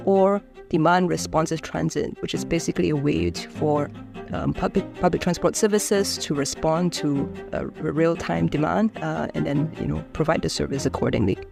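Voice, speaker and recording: A woman, explaining her research in a measured way with frequent fillers and restarts. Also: low-pitched, creaky, music in the background. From Singapore. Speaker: engineering researcher. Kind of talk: explanatory interview talk.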